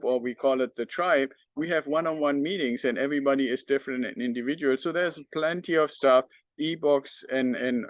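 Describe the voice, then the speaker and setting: A man's voice: medium pitch, no room sound. German-accented man hosting a podcast, close dry sound. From northern Germany. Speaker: podcast host. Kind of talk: unscripted talk to the audience.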